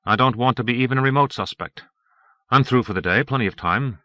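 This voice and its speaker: Man acting a glib male suspect, breezy, protesting, raised pitch, forceful, audiobook drama. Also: fast talker, no echo, dull audio, natural voice. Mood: eager bluffing. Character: glib, defiant male citizen under questioning.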